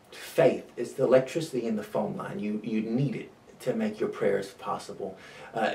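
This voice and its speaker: A man delivers a devotional talk as a pastor, earnest and measured, pausing around repeated phrases. His voice sits on the low side with a gravelly fry. The recording is echoey, with the microphone some distance from him. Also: darkish tone. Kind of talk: short devotional sermon talk. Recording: roomy echo, distant microphone.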